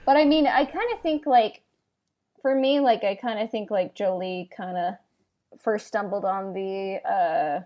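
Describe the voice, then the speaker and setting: A woman co-hosting a podcast in casual, halting chat peppered with filler words. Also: medium pitch, a little mellow. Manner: halting, heavy use of "like". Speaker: podcast co-host. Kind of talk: casual chat about game lore.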